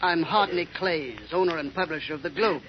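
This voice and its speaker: Actor as high-voiced pompous newspaper villain. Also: male voice, a little fast, natural voice. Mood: smooth, officious. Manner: formal, blustering. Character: pompous, shifty newspaper publisher, secretly villainous. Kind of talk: 1930s radio drama acting. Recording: muffled, hissy old radio recording.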